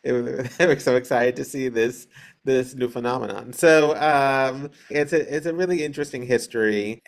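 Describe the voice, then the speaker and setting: Male interview guest, theater director type, average pitch, hesitant, pause-filled, explanatory. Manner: hesitant, halting delivery with many pauses. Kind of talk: casual explanatory interview talk.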